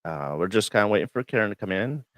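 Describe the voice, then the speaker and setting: A man hosting a casual livestream chat, a bit hoarse and froggy from the flu, talking in a relaxed, rambling way with many fillers. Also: on the low side, fast, dry recording, studio quality. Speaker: host. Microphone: studio microphone.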